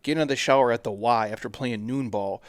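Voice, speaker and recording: A man's voice: medium pitch, quick pace, no room sound, clean studio sound. A man around forty hosting a podcast, talking in laid-back, slangy, joking banter. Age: 40s. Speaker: podcast host. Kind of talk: casual chat.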